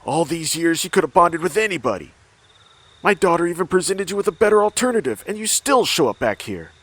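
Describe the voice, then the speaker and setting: An actor playing a weary, bitter old father haunted by a cursed mask in a horror audio drama, with anguished, halting delivery. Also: a little high, sing-song, a bit bright. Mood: grieving, furious. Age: older.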